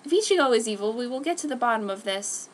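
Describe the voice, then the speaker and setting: A woman's voice: a little high, animated, quick pace, a little hiss or hum, close to an everyday voice. Woman as blunt, protective brotherly young man. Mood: reassuring.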